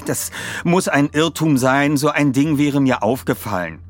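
A man's voice: on the high side, unhurried, soft background music, pitched above the performer's own voice, close to an everyday voice. A man voicing a stressed, irritated woman with a flustered manner for an audio story. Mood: irritated, firm. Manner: flustered, hesitant interjections, sighing. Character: Julia Scott, stressed, irritated adult woman running a house sale.

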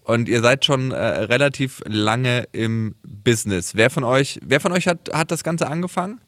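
A mid-pitched man hosting a relaxed interview, recorded dry and close. He asks his guests questions eagerly and slips English phrases into his talk. His tone is playful and informal. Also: normal speed, dry recording. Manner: lively, mixes in English phrases. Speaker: host. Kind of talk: casual interview.

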